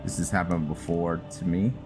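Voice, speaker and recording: A man giving unscripted game commentary, thinking aloud in a halting way. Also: deep voice, fairly even intonation. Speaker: gaming video host, player. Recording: game music behind voice. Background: game music.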